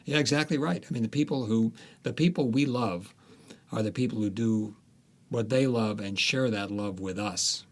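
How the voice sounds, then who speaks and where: A man, an author, talking through his ideas in a casual interview, thoughtful and halting, with frequent restarts. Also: lowish voice.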